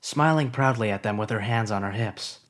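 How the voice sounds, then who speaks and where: A man's voice: fairly low pitch, moderate pace, fairly bright tone. Man steadily narrating a story. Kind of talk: scripted narration of fan fiction.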